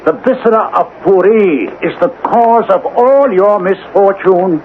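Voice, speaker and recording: An actor playing an anxious, bookish, superstitious friend, earnest and urgent, in a radio mystery drama. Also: male voice, high voice, a little slow. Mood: pleading. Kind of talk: old-time radio mystery acting. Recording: tinny, noisy old radio broadcast.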